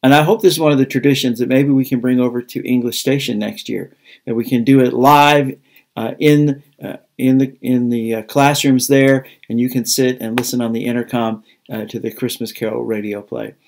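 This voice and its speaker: A man speaks informally to close a program. His voice is mid-pitched, and he talks in a halting, sincere way, like a school staff member addressing families. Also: fairly even intonation, vocal fry, darkish tone. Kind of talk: informal spoken sign-off.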